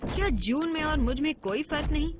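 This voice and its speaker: A woman's voice: high-pitched, fairly quick, muffled recording, noisy background, close to an everyday voice. A woman voicing a firm, protective, commanding female robot warrior in a Hindi cartoon dub. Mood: stern, protective. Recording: music behind the voice.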